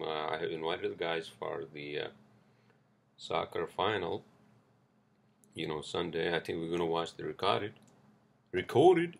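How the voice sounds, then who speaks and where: A man's voice: low-pitched, vocal fry, husky. A man hosting a casual solo podcast, rambling loosely with lots of fillers, restarts and swearing, speaking English with an accent. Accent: non-native English accent. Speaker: podcast host.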